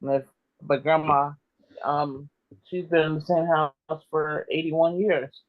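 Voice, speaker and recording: A man chatting casually in a group discussion, halting as he thinks aloud. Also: a little high, level tone, phone-quality sound. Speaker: participant in a genealogy group discussion. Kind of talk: casual chat.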